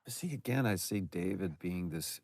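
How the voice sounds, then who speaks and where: Deep-voiced man in casual commentary. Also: expressive, moderate pace, vocal fry, a little mellow, no room sound, clean studio sound. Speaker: game actor giving commentary. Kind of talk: casual reflective group commentary.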